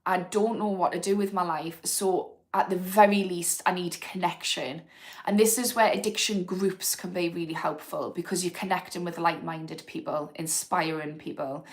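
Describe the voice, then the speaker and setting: Chatty woman, lowish voice. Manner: chatty and frank, thinking aloud with fillers. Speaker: host of an addiction recovery channel. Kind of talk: casual livestream chat answering viewer comments.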